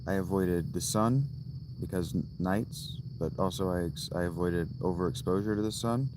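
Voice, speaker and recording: A man in his thirties chatting on a casual livestream from inside a tent, musing slowly and restarting his thoughts as he goes. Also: deep voice, noisy background. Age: 30s. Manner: slow, musing, thinks aloud with restarts. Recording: loud crickets and outdoor ambience. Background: crickets.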